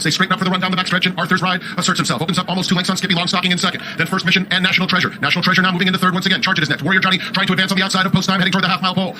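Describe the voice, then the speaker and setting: Male horse race announcer, high-pitched, rapid-fire, urgent, excited, live commentary, faint background noise. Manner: urgent, rising excitement toward the finish.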